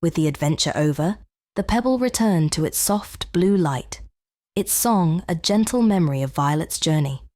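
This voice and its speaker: Lowish lady voice reading kids bedtime story, gentle n measured, very dry recording. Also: expressive, no echo. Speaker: children's story narrator. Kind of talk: scripted bedtime storybook narration.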